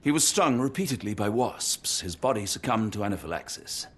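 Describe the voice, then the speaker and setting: An actor playing a loyal, principled Victorian doctor in a video game, British, earnest and formally articulate. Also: male voice, lowish voice, animated, a little fast, fairly bright tone, husky. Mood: grave, sincere. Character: loyal, principled Victorian male doctor, steadfast companion to detective. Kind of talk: video game cutscene acting. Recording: music behind the voice.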